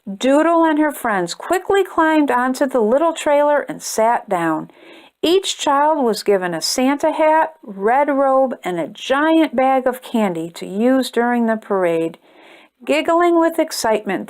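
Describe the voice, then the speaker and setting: A woman reading a children's picture book aloud with expressive, deliberate storytelling and emphatic phrases. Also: high-pitched. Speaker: storytime reader and host.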